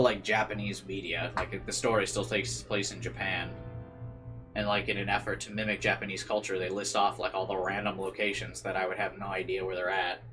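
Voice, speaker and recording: A man's voice: on the low side, fairly bright tone. A man doing casual livestream commentary and reading a visual novel aloud, rambling and incredulous in manner. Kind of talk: casual livestream commentary mixed with read-aloud game narration.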